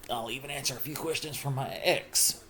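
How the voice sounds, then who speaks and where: A middle-aged man talking casually and reflectively about himself, in halting, broken phrases with trailing pauses. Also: medium pitch, sharp tone, slight background noise. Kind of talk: casual personal monologue, rambling reflection.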